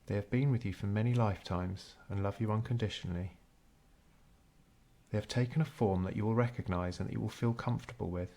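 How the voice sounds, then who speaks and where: A man narrating a scripted guided meditation in a measured, unhurried storytelling way. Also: on the low side, fairly even intonation, gravelly, warm tone.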